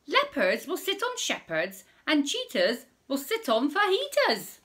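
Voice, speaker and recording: Storybook frog, boastful and cheeky, high and lively, slow sing-song rhymes, woman putting him on. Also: heavily acted. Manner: slow, singsong rhyming delivery. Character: boastful rhyming male frog.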